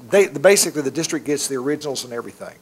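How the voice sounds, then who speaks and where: A middle-aged man speaking at a school board meeting, informal and halting with many restarts. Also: fairly high pitch, lively intonation, a little hiss or hum. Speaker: state monitor addressing a school board. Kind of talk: public meeting remarks. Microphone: podium microphone.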